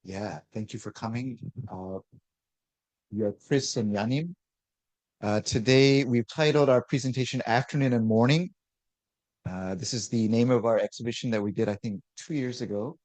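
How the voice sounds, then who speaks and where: A man, an artist, presenting a talk in a relaxed, loose way with frequent fillers. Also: medium pitch, moderate pace, no echo, low-quality recording. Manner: relaxed, lots of uh fillers and restarts.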